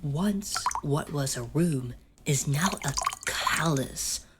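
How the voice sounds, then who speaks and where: A woman playing an ominous narrator and incanter in a whispered ASMR horror piece, with a deliberate, chanting delivery. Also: sits low, lively intonation, heavy background noise.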